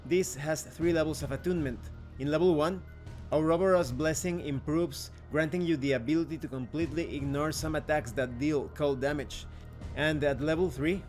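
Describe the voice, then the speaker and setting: A man hosting a review video, narrating from a script in an explanatory style. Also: on the high side. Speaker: video host, game reviewer.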